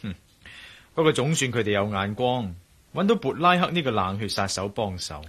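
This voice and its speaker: An actor playing a shrewd, teasing adventurer in a radio drama, with a wry, colloquial manner and a Hong Kong Cantonese accent. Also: male voice, on the low side, lively intonation, normal speed, a bit bright, husky. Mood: calm, reassuring. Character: shrewd, teasing male adventurer, cool under pressure.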